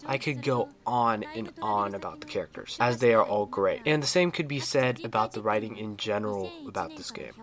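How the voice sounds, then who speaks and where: A man's voice: lowish voice, brisk, few pauses, a bit bright. A man reading a scripted video game review as an enthusiastic, opinionated fan.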